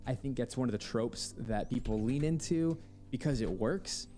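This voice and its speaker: A man's voice: mid-range voice, normal speed, dark tone. A man speaking as a composer on a podcast, explaining his music in a conversational way. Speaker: composer interviewed as podcast guest. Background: music, with guitar.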